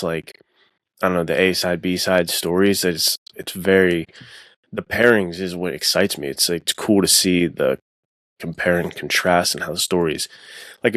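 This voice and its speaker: Male podcast host, low voice, close dry sound, casual and rambling. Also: no echo. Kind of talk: casual conversational interviewing.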